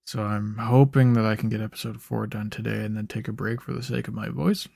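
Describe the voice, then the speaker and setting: A young man giving laid-back gameplay commentary in a flat, monotone ramble, his voice a bit hoarse and rough. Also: a little fast, dry recording, clean studio sound. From Canada. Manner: monotone, low-key rambling. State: slightly hoarse. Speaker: gaming YouTuber. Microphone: desk microphone.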